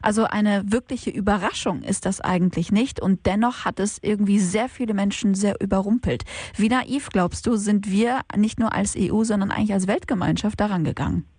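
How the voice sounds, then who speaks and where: A woman's voice: mid-range voice, a little fast, a little mellow, breathy edge, no room sound. A woman hosting an interview, asking fluent, probing questions. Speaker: host.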